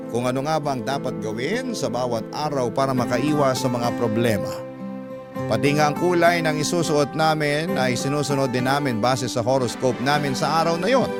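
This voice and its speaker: Man narrating over prominent music, slightly high. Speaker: radio host and storyteller. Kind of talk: dramatic scripted narration of listener letters. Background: music bed.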